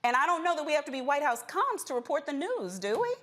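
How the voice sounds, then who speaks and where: A woman's voice: sits high, big pitch swings, fast talker, fairly bright tone. An American woman hosting a political panel discussion, speaking in an insistent, pointed way. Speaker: news commentary show host.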